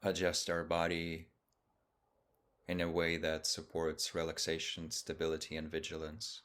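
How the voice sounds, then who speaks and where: A man leading a guided meditation as a teacher, speaking calmly in short, measured phrases with long pauses. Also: extremely low pitch.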